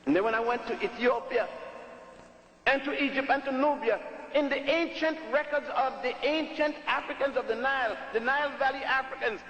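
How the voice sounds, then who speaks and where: A man's voice: very high voice, a little fast, fairly bright tone, highs cut off. An older man from Puerto Rico giving an emphatic, storytelling public lecture in a hall, repeating words for stress. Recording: echoey hall.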